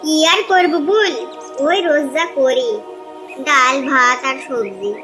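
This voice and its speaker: A woman's voice: high voice, lively intonation, slow pace, sharp tone, faint music behind, exaggerated voice. A woman playing a poor, envious wife in a cartoon, complaining with a sarcastic edge. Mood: resigned, envious. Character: discontented, envious, scolding poor fisherman's wife. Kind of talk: cartoon dialogue.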